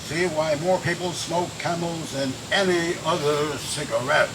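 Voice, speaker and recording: A man's voice: fairly high pitch, a little slow. A man announcing in an emphatic, formal vintage radio commercial style. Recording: very noisy old radio broadcast.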